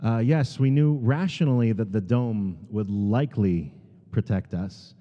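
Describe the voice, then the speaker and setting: A middle-aged American man giving a sermon as a guest speaker, halting and earnest, with many ums and restarts. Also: fairly low pitch. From United States. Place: large synagogue sanctuary. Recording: long hall reverb, dull sound.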